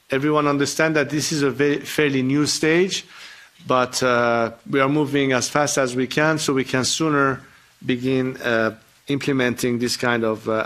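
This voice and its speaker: A man gives formal remarks at a public event. He speaks in a measured, deliberate way and often pauses to search for words. His voice sits at an ordinary middle pitch. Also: fairly bright tone. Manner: measured and deliberate, with frequent hesitations. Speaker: guest speaker at a parliamentary event.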